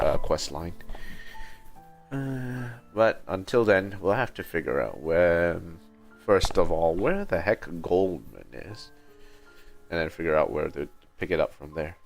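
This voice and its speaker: A man's voice: low-pitched, vocal fry. A man giving casual video game commentary as he streams, thinking aloud in a mumbly way into a streaming microphone. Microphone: streaming microphone that picks up movement. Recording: game music behind the voice.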